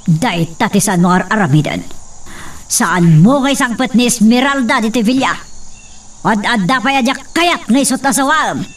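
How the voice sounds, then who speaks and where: Haughty high-voiced matriarch. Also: female voice, animated, normal speed, far from the mic. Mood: angry, imperious. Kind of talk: radio drama acting.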